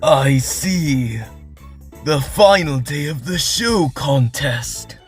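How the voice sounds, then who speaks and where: A man's voice: on the high side, expressive, drawn-out words, faint music behind. A man voicing a theatrical young male troupe star in an anime fan dub, grandiose and declamatory. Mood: pensive, restrained.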